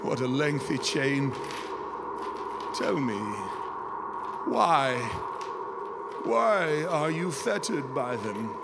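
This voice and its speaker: Crabby old miser, blustery n muttering, really swoopy, slow delivery, noisy stage recording. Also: male voice, fairly high pitch, sing-song, gravelly, exaggerated voice. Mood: uneasy. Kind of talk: live radio theater acting. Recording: heavy background noise, live stage recording.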